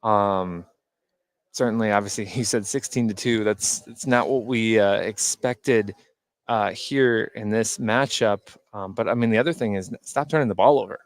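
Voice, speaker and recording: A man working as a radio color commentator, explaining basketball plays in a halting, conversational, unscripted way. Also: lowish voice, no echo. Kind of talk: unscripted radio sports analysis.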